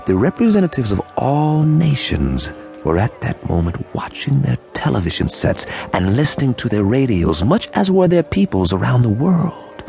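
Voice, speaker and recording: Male radio-drama lead: somber, rueful survivor, very low gravelly voice, reflective delivery over music. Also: darkish tone. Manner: brooding, deliberate, reflective, with weighty pauses. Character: somber, rueful man recounting a calamity. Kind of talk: scripted first-person radio drama narration.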